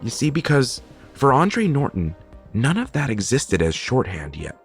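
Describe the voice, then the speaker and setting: A man hosting an audio show with an earnest, deliberate scripted introduction. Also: medium pitch, huge pitch swings, soft background music. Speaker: host and adapter of the show.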